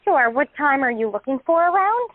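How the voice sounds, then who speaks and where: A woman's voice: sits high, very animated, moderate pace, noisy background. A woman working as a salon receptionist, handling a booking in a polite customer-service phone conversation. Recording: dry, narrow phone line.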